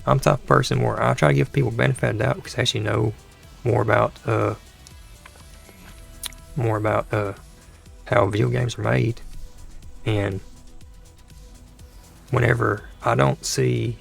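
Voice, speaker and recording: A man hosting a gaming channel, talking casually over gameplay with a dry throat and a hoarse voice, rambling and exasperated, often restarting his sentences. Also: mid-range voice, steady tone, normal speed, long pauses, soft background music. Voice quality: gravelly, creaky voice.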